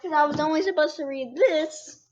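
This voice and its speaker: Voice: high-pitched, moderate pace, highs cut off. A ten-year-old child host reading a storybook aloud, with casual asides to the listeners. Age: 10 years old.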